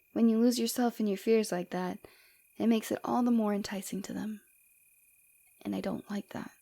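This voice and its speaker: Mellow, flirtatious villainess played by a woman. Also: medium pitch, creaky, close to an everyday voice. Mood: protective, serious. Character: flirtatious, forward female villain, rebel fighter, secretly caring. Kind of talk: ASMR roleplay acting.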